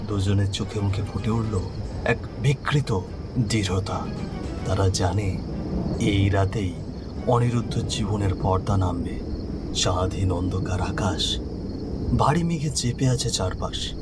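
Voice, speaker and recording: A man's voice: lowish voice, slow, long pauses, loud music behind the voice. A man narrating a scripted horror story in a dramatic style.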